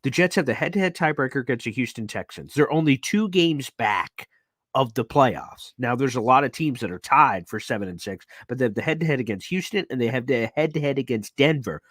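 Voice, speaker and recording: A man hosting a live sports talk show, walking through standings with growing excitement. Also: mid-range voice, brisk, dry recording, clean studio sound. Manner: excitable, hyped breakdown of standings.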